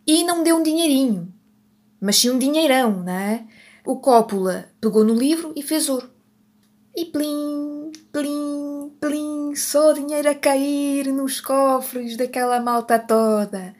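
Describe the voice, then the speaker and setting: A woman's voice: high-pitched, slow pace, a little mellow. Rambling Portuguese woman podcaster. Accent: European Portuguese. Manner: rambling, self-correcting, laughs at herself. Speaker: podcast host. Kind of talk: unscripted solo podcast chat.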